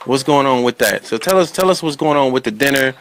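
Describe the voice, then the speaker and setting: Guy hosting a casual talk show, chatty, says things twice, regular pitch. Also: lively intonation, fast talker, a bit bright, a little hiss or hum. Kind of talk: casual conversational interview.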